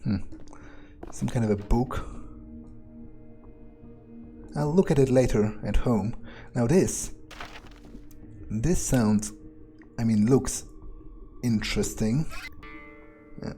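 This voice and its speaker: A man's voice: mid-range voice, takes it slowly, pauses often, mellow, soft background music. A man giving in-character commentary on a game playthrough, musing casually and swearing freely as he goes. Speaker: gamer role-playing his character aloud.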